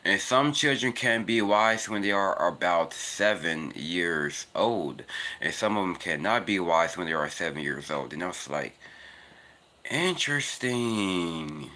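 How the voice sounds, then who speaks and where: A man's voice: animated, a little slow, sharp tone. A man in his twenties talking casually and earnestly about faith, rambling and repeating himself while out on a walk. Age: 20s. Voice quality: naturally deep voice. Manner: rambling, earnest, repetitive "it's because". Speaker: vlogger. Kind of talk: casual spiritual monologue. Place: outdoors, walking in cold weather.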